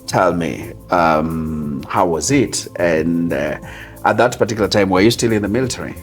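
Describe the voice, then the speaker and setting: A man with a Kenyan accent hosting an interview, asking slow, hesitant questions full of fillers. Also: low-pitched, expressive, soft background music. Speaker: interview host.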